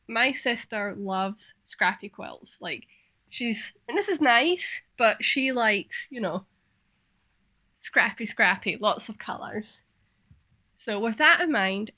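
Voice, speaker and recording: A woman's voice: medium pitch, slow pace, pushed and bright. A Scottish woman hosting a sewing vlog, chatting and narrating casually. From Scotland.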